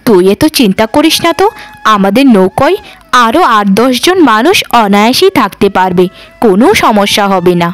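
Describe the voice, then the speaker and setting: A woman's voice: mid-range voice, sing-song, moderate pace, dry recording, soft background music, close to an everyday voice. A woman playing a protective, plainspoken village mother in a cartoon, with an assured manner. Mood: reassuring. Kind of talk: Bengali cartoon dub acting.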